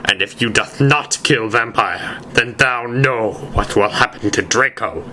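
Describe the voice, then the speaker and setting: Here is a man playing a cruel wizard villain from a storybook reading. He declaims in pompous, archaic phrases with a forceful, bright edge. There is faint noise behind the voice. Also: mid-range voice, measured pace. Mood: menacing, commanding. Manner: shouting, pompous archaic speech.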